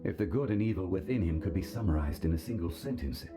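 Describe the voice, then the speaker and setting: An actor playing an ancient, stoic and weary god of contracts in a video game, speaking in a measured, grave and formal way. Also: male voice, deep voice, animated, over loud music. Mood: wistful. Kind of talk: scripted video game character acting.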